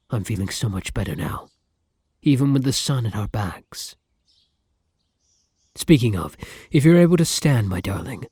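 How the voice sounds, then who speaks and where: A man playing a tender, devoted vampire lover in an intimate ASMR roleplay, speaking softly in formal, courtly, old-fashioned phrases. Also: fairly low pitch, dry recording, studio quality, heavily acted. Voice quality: soft, breathy.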